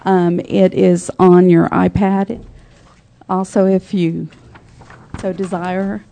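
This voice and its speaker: Soft-spoken woman presenting a budget. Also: fairly low pitch, little pitch movement, slow pace, vocal fry. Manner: soft-spoken, with frequent ums. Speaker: financial services manager presenting a budget. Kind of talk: formal budget presentation at a public meeting.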